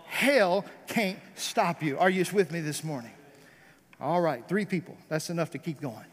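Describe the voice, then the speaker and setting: A man with a high voice and an American accent preaches like a revival pastor in a large, reverberant hall. His delivery is fervent and emphatic, built on repeated phrases and pointed rhetorical questions. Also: moderate pace. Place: church service, amplified in a large room.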